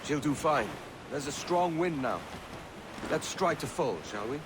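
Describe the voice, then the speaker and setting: An actor playing an easygoing, self-assured seafaring privateer who talks laconically and casually in a video game cutscene. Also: male voice, a little high, animated, measured pace, background noise. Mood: breezy, confident. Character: confident, easygoing seafaring privateer posing as an ally. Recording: loud music behind the voice.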